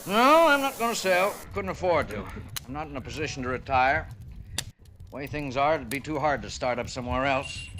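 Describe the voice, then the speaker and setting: An actor playing a genial but fretful middle-aged diner owner in old radio drama style, chatty and folksy, hesitant when flustered. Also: male voice, mid-range voice, expressive, normal speed, natural voice. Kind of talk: 1950s radio drama acting. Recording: hissy old radio recording.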